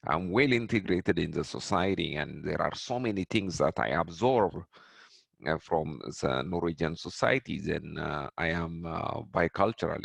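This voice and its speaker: Deep, gravelly man, halting. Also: a bit bright, dry recording. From Ethiopia. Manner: halting, many restarts and fillers. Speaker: guest. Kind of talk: reflective interview answers.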